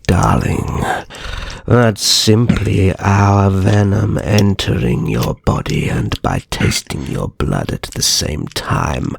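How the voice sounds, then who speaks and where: A man playing a sly, sadistic vampire naga kidnapper in an ASMR roleplay, speaking with mock courtesy and slow theatrical menace. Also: sits low, creaky, no echo, full character voice. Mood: gloating menace. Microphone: close microphone, dry.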